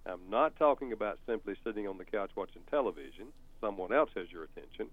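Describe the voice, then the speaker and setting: An older Southern man with a low voice talks in a lively, folksy way, his pitch rising and falling as he tells stories. The sound is tinny, like a phone line. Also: fast talker, no room sound. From North Carolina. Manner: folksy, animated and storytelling. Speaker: author and counselor guest. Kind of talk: explaining on a talk show.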